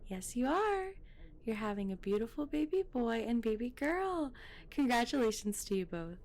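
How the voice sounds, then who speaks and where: Woman acting a high-voiced, lilting kindly doctor. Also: big pitch swings, normal speed. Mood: gentle, congratulatory. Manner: gentle, reassuring. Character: kindly doctor reporting an ultrasound to parents. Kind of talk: audio drama acting.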